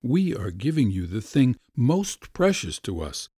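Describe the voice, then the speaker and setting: A man's voice: medium pitch, sing-song, unhurried, dark tone, breathy edge, dry recording, clean studio sound. A man voicing an earnest, insistent young man who pleads effusively, in a raised voice. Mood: earnest pleading.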